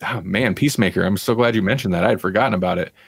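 A man's voice: fairly low pitch, rapid-fire, vocal fry, slightly rough, dry recording. A man co-hosting a podcast, chatting casually about pop culture. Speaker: podcast co-host.

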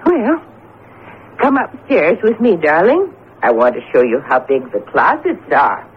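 An actress playing a chatty, affectionate, eager wife in an old radio drama. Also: female voice, fairly low pitch, very animated, unhurried. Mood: breezy, eager. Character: breezy, eager wife showing off a house. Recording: tinny vintage radio broadcast, faint hiss.

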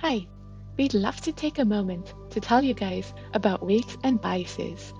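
A woman reading a scripted sponsor ad in a smooth, rehearsed way. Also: mid-range voice, unhurried, highs cut off. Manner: smooth, even, rehearsed delivery. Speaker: sponsor ad reader. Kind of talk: scripted ad read. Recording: distant voice over background music.

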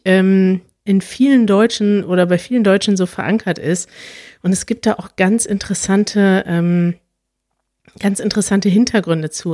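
A woman's voice: medium pitch, a little mellow, no room sound, studio quality. A woman co-hosting a podcast in casual chat, talkative and thinking aloud as she explains. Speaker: podcast co-host.

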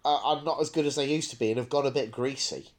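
A man's voice: mid-range voice, fast talker. A British man co-hosting a podcast, chatting casually in a wry, mock-serious way. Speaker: podcast co-host.

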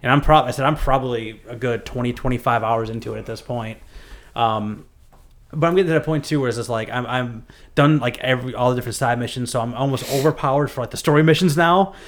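Fast talking podcast guy, rambly, tons of likes and restarts. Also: medium pitch. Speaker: podcast co-host. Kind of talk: casual chat.

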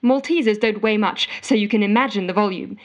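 A woman's voice: mid-range voice, fairly quick, lo-fi sound, natural voice. An actress playing an exasperated, frantic office worker, telling her woes melodramatically in an audio drama voicemail monologue. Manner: melodramatic, indignant storytelling with sarcastic quoting. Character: exasperated, frantic office woman ranting to a colleague.

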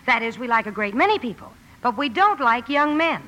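A woman's voice: fairly high pitch, very animated, fairly quick, pushed and bright. An actress playing a blunt, impulsive young woman in a rambling, self-correcting way, in 1950 radio drama style. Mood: indignant, flustered. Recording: noisy vintage 1950 radio broadcast.

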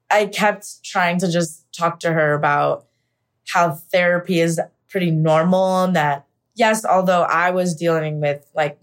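A young woman with an American accent shares a personal story as a guest. She speaks candidly in a slightly low voice, in a casual, conversational way peppered with "like" and "you know". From Pennsylvania, United States. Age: young adult. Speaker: podcast guest, actor.

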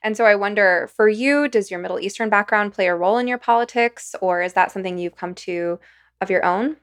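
A woman hosting an interview, asking measured, thoughtful questions. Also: medium pitch, clean tone, dry recording, studio quality. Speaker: podcast host interviewer.

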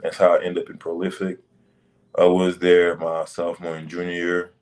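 A young man from Senegal, a basketball prospect, answering interview questions in loose, relaxed phrasing over a video call. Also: low voice, moderate pace. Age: young adult. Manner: relaxed, loose phrasing with many "like" and "you know".

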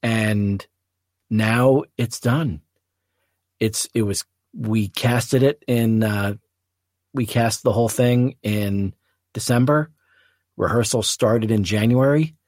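Slow, low-pitched man hosting a podcast. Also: a little mellow, no room sound, studio quality. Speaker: podcast host. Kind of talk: solo reflective podcast talk.

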